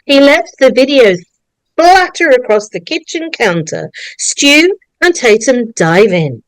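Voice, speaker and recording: A woman's voice: high voice, big pitch swings, slow pace, no room sound, rough recording. A woman narrating a script, reading screenplay stage directions aloud. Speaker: narrator of a staged table read.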